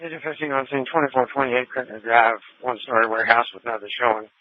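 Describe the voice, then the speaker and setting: A man's voice: mid-range voice, fairly even intonation, fast talker, no room sound, phone-quality sound. A man working as a firefighter, giving brief status reports over two-way radio. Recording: band-limited emergency scanner radio.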